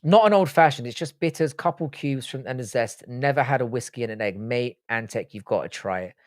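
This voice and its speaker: A man's voice: mid-range voice, expressive, a little fast, no echo, studio quality. A young man, raised in the UK, hosting a live stream and answering viewer questions in blunt, profane, joking chat. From born in Ireland, grew up in the UK. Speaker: livestream host.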